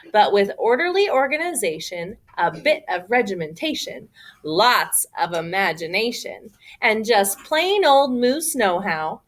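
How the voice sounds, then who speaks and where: A woman tells a children's picture story in a fairly high voice. She reads at an unhurried pace with big swings in pitch, leaning theatrically on key words. The delivery is expressive and made for young listeners. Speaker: read-aloud storyteller. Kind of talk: animated children's picture-book read-aloud.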